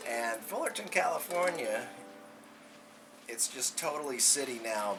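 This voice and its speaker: A man in his sixties telling childhood memories in a casual, folksy, rambling and animated way, as a storyteller dad. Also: medium pitch, low-quality recording. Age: 60s.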